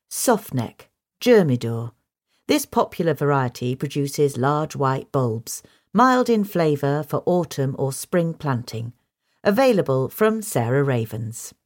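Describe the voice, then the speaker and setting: A woman reading a gardening article aloud as scripted narration. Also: sits low. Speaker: article reader.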